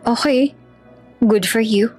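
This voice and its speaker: A woman's voice: a little high, slow pace, darkish tone, soft background music, low-quality recording. A woman voicing a feisty, blunt and emotional young provincial woman in a romance audio drama. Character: feisty, plain-spoken young provincial woman, anxious but brave.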